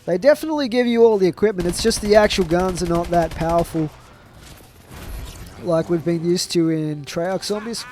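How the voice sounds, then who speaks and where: A man's voice: sits high, normal speed, darkish tone, soft background music. A young man with a stuffed-up voice from a chest infection, giving casual, rambling commentary over a zombie shooter game. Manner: chatty, trailing off with fillers. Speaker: gaming commentator.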